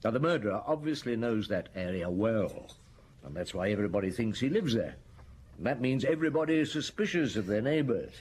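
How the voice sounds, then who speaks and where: A man's voice: lowish voice, lively intonation, a little fast, darkish tone, a little hiss or hum, natural voice. A man playing a methodical police inspector. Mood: deliberate. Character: methodical middle-aged male police inspector. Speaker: detective. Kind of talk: radio drama acting.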